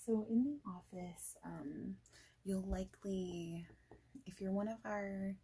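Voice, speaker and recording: A woman speaking softly and breathily in an ASMR roleplay as a friendly, informal boss, often near a whisper, in a casual, hesitant, rambling manner. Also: mid-range voice, slow, husky, poor audio. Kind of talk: soft-spoken ASMR roleplay, informal job interview chat.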